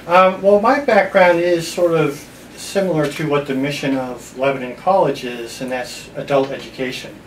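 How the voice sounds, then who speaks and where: A man's voice: on the high side, normal speed. A man from upstate New York giving informal answers as a college department chair being interviewed. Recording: roomy echo, distant mic, background noise.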